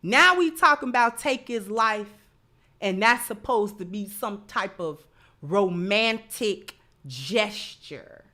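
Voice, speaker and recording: A woman's voice: medium pitch, expressive, slow, pushed and bright. A woman giving opinionated live commentary as a host, emphatic, repeating phrases and posing rhetorical questions. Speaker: livestream host.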